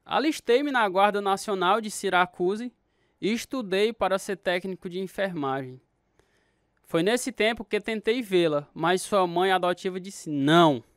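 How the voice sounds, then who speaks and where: A man acting as a troubled, restless narrator telling his life story in a radio drama, with a Brazilian accent. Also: sits high.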